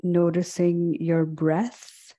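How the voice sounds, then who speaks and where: Female meditation guide, low-pitched and very slow, gentle, leaving long pauses. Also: flat delivery. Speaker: yoga and meditation instructor.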